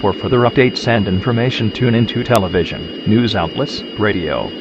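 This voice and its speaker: A man's voice: on the low side, normal speed, natural voice. A man playing a grave emergency agency announcer, reading a scripted alert in a formal, authoritative manner. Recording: dull lo-fi broadcast with clear noise.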